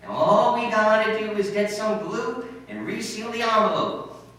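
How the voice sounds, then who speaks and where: A man playing a scheming, excitable teenage schoolboy in an old-time radio comedy, with exclamatory boyish delivery. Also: high voice, normal speed. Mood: eager scheming. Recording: roomy echo, distant mic, faint noise.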